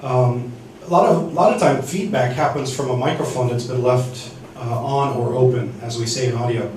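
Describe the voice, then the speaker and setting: A man teaching a seminar on church sound desks, speaking haltingly with many restarts and fillers. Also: mid-range voice, darkish tone, a little hiss or hum. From United States. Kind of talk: teaching sound-desk basics. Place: church hall. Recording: echoey hall from far off.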